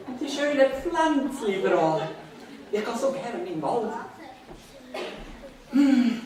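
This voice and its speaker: An actress playing a sweet, naive royal treasure-seeker in children's musical theatre, with a wide-eyed, singsong manner. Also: female voice, high-pitched. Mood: dreamy delight. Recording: distant echoey live hall, audience noise.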